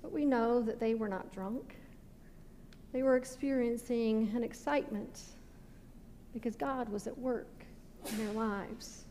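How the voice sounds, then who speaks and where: A middle-aged American woman, a pastor, giving a sermon with measured, deliberate phrasing that falls away at sentence ends. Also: a little high, dark tone. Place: indoor stone-walled church sanctuary at a pulpit. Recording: reverberant church hall with background noise.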